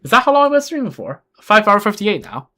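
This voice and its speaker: A man's voice: high-pitched, very animated, fast talker, pushed and bright. A young man chatting casually while playing a game on a livestream, rambling with lots of restarts and self-deprecating asides. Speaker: streamer. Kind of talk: casual gameplay livestream chat.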